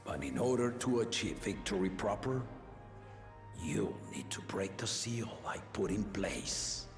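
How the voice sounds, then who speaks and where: An actor playing an aging, benevolent king in a video game, speaking in a measured, regal, solemn manner. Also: lowish voice, expressive. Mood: solemn, commanding. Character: aging benevolent king and father, stately, fond of contests. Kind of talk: scripted video game voice acting. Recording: echoing hall reverb under game music.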